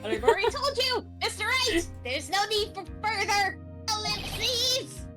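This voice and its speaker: A haughty video game prosecutor exclaims every accusation theatrically. The voice is extremely high and swings widely, with loud music playing over it. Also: female voice, lively intonation, unhurried. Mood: dramatic, accusing. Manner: declamatory, exclaiming.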